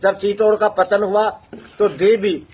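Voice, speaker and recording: A man's voice: high voice, steady tone, unhurried, a little mellow, husky, dull audio. A man with a rural Haryana-Rajasthan accent telling oral history as a traditional community storyteller, emphatic and repeating phrases in a building chant. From North India.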